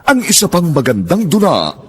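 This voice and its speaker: A man's voice: fairly high pitch, wildly swooping pitch, moderate pace, fairly bright tone, slightly rough. A man announcing a radio drama in a scripted, grandiose and solemn poetic style.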